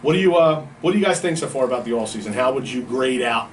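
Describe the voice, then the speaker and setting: A man's voice: mid-range voice, animated, fast talker. A man hosting a sports talk show in casual, slangy, bantering radio style. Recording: distant mic, faint background noise.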